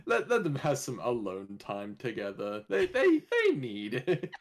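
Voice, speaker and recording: A man's voice: mid-range voice, wildly swooping pitch, unhurried. Easygoing joking man in casual chat. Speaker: voice actor, guest.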